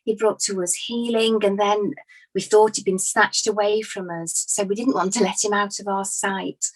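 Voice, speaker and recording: A woman acting as a devoted woman witness with a medium-pitched voice. She testifies earnestly in everyday speech, hesitating as she recalls events in a dramatized inquest. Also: fairly even intonation, normal speed, close to an everyday voice, poor audio.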